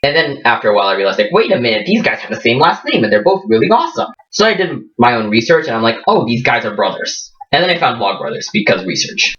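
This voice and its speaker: A man's voice: medium pitch, very animated, fast, hardly any pauses, fairly bright tone, far from the mic, dull audio. A fifteen-year-old boy with a Midwestern American accent and a slight Indian lilt, chatting casually and poking fun at himself in a vlog recorded in a small room. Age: teens. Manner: chatty, self-mocking, jokey asides. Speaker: vlogger.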